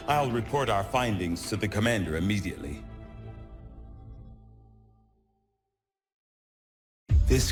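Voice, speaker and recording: An actor playing a formal, analytical scientist in a video game cutscene, speaking precisely and with measure. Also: male voice, medium pitch, lightly acted. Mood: grave, analytical. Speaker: chief scientist. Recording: music behind the voice.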